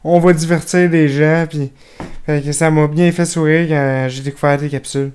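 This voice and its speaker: A man's voice: a little high, fairly even intonation, moderate pace, a little mellow, clean tone. A man with a Québécois accent hosting a podcast, chatty and casual, often stretching out his hesitations. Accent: Québécois French. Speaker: podcast host.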